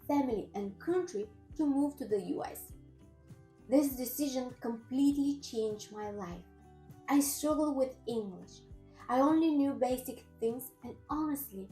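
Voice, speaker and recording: A woman's voice: high voice, mellow. A woman in her thirties from Bulgaria with a Bulgarian accent, a personal trainer and nutritionist telling her own story in an earnest, careful way. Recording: roomy echo with faint music.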